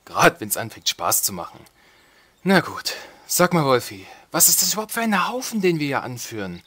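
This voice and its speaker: An actor playing a flippant, bumbling medieval lord in a comedic audio drama, talking casually and sarcastically. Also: male voice, a little high, sing-song, normal speed, sharp tone, full character voice. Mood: teasing.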